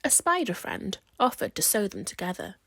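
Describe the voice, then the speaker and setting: A woman's voice: medium pitch, huge pitch swings, moderate pace, sharp tone, slightly rough, no echo, studio quality. A woman reading a rhyming children's story aloud as scripted narration. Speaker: storybook reader.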